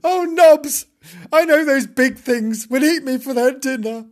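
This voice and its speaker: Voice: mid-range voice, very animated, fairly bright tone, husky, exaggerated voice. A storyteller voicing a frightened, boastful little male kitten with dramatic, pleading wails. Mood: panicked. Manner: dramatic wailing, drawn-out pleading cries.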